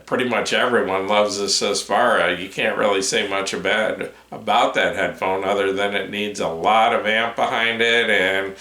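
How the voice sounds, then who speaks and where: A man hosting a casual update vlog, rambling with many fillers, his voice tired and going hoarse. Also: lowish voice, steady tone, normal speed, hardly any pauses, bright, forceful tone. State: hoarse, voice giving out. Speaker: headphone reviewer, channel host. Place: home room with his desk. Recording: roomy echo.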